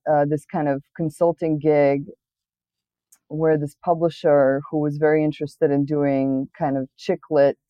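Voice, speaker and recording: A woman's voice: deep voice, no room sound, dull audio. Woman, from Bulgaria, Bulgarian-born translator, reflective, restarts often, dry sound. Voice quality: reflective, thoughtful, with frequent restarts. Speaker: interview guest, literary translator. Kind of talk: conversational interview.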